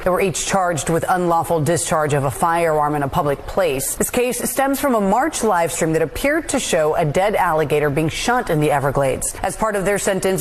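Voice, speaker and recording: A woman's voice: fairly low pitch, slight background noise. A woman reading scripted television news narration as a reporter.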